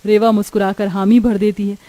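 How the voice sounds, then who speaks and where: A woman's voice: mid-range voice, flat delivery, fairly quick, mellow, no echo. A woman storyteller delivering scripted narration for a moral tale.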